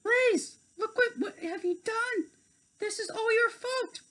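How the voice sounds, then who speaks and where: Woman acting out young female fairy, very high pitch, earnest, pleading, dramatic, children's storybook. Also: slow, a bit bright, heavily acted. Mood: upset. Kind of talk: children's storybook character reading.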